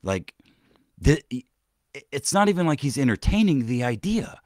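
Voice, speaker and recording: A man's voice: mid-range voice, sing-song, normal speed, a little mellow, dry recording, clean studio sound. A man hosting a podcast, rambling and swearing through casual commentary, with many restarts and trailing-off sentences. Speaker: podcast host.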